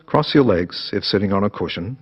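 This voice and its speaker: A man narrating a scripted guided meditation in a measured, instructional manner. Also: on the low side, lively intonation, muffled recording, soft background music.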